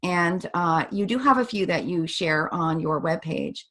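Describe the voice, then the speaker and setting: Woman interviewer, low-pitched voice, composed, leading questions. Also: expressive, a little slow, fairly bright tone. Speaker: interview host.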